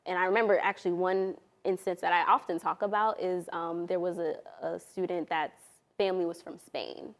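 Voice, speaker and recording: Reflective, lower-voiced woman. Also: gravelly. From Honduras. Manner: reflective, thoughtful, searching for words. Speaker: guest panelist. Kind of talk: casual panel conversation.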